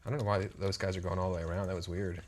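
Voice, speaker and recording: A man's voice: deep voice, level tone, very fast. A man casually commenting on a strategy game he is playing, rambling and self-deprecating. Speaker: co-host playing the match.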